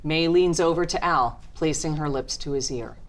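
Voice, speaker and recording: A woman's voice: low-pitched, normal speed. A woman reading a script's stage directions as narration at a table read.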